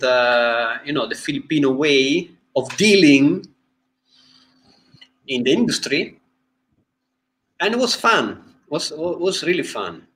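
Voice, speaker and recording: A man in his forties with an Italian accent talks slowly over a video call from a room at home. His pitch sits a little high, and he halts often, filling gaps with "uh" and restarting phrases as he searches for the words. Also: fairly bright tone. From Italy. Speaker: guest filmmaker. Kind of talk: casual interview chat. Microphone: video call webcam.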